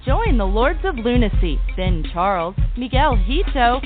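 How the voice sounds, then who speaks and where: A woman's voice: a little high, big pitch swings, a little slow. A woman announcer reading a scripted radio show intro. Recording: thin phone-like audio under music.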